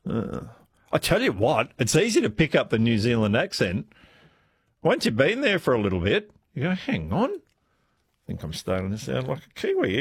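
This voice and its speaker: A man hosting a talkback radio quiz, chatty and playful and quick to laugh, taking callers in a studio. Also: medium pitch, husky, no echo. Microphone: studio microphone.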